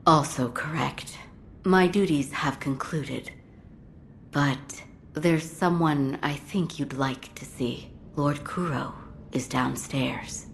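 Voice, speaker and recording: A dutiful doctor from a game cutscene. Her voice is low and slow, formal and reserved. Faint rumbling noise lies underneath. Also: vocal fry, full character voice. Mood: composed. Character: composed adult female doctor, dutiful servant of a lord. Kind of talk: video game cutscene acting.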